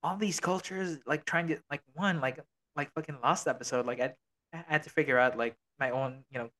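A man's voice: fairly high pitch, fairly quick, sharp tone. A man in his early twenties chatting informally as a podcast co-host, with lots of filler phrases and loose, casual wording. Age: early 20s. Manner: informal, swears casually, peppers talk with "like" and "you know". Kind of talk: casual chat.

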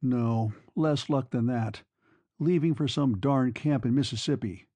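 A man's voice: medium pitch, measured pace, mellow, dry recording, close to an everyday voice. A man playing a disillusioned young soldier in an audiobook story, a weary and sentimental lover who speaks in a brooding, wistful way. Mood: uneasy.

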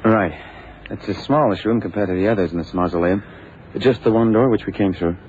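An actor playing a brisk, plain-spoken detective's assistant in an old radio drama, informal and direct. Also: male voice, on the low side, a little mellow, natural voice. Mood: insistent. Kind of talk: 1960s-70s radio drama acting. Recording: muffled old radio broadcast, faint hiss.

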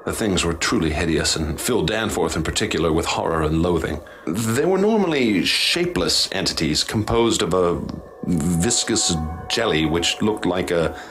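A haunted professor in a radio drama, his voice low and grave. He speaks formally and with ominous weight, measuring out each phrase. Clear noise and ambience sit behind him. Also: animated, normal speed, fairly bright tone. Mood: grave, measured. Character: grave haunted male professor recounting horrors he witnessed.

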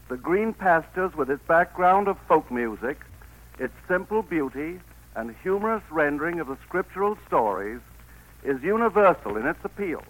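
A man introducing a play in a formal, measured way in a scripted 1940s radio broadcast. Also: fairly high pitch, a bit bright, poor audio. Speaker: theatrical producer introducing the play.

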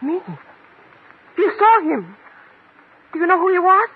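A woman's voice: very high voice, lively intonation, a little slow, exaggerated voice. A woman playing a guarded, commanding woman of intrigue in a 1950s radio drama, speaking formally and precisely. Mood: shaken. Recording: tinny old radio with light noise.

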